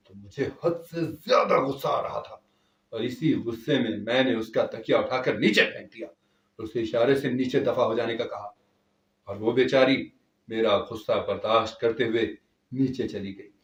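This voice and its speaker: A man narrating a scripted dramatic story in the first person, as a storyteller. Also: medium pitch. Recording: echoey, distant from the mic.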